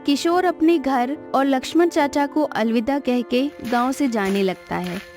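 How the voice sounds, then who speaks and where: High-voiced woman storyteller over music. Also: a little slow, a little mellow. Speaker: narrator. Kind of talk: scripted storytelling narration for a moral cartoon.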